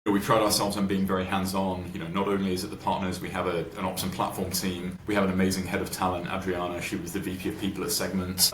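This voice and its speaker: A man with a low voice speaks in a roomy, echoing space with clear noise behind him. He explains things informally, like a podcast guest answering questions. His phrasing is colloquial and conversational. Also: fairly bright tone. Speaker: venture capital investor, podcast guest.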